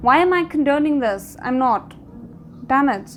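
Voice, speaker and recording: A woman giving a casual live reaction to a drama series, thinking aloud in an informal, expressive way. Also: sits high, normal speed, a little hiss or hum. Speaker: reaction video host.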